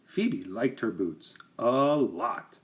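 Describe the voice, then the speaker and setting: A man narrates a children's picture book in an expressive, teacherly way. His pitch moves up and down with lots of animation, and he places careful emphasis so that young listeners can follow. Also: drawn-out words.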